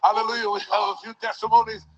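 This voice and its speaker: A man's voice: high-pitched, little pitch movement, rapid-fire, highs cut off, a crowd in the background. A man leading a church service, preaching fervently with call-and-response and repeated hallelujahs. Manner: repeats hallelujah, rises to shouts.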